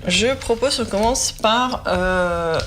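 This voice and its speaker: A young woman co-hosting a food segment, chatting casually, often agreeing with her partner in a soft voice. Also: mid-range voice, slow pace, slight background noise. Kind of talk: casual tasting chat on a show set.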